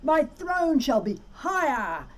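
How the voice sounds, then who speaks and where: A woman's voice: sits high, very animated, slow pace, full character voice. Woman putting on a grandiose, boastful turtle king. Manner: grandiose, commanding, bellowing. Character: pompous, power-hungry male turtle king, boastful and bullying. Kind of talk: children's storybook read-aloud.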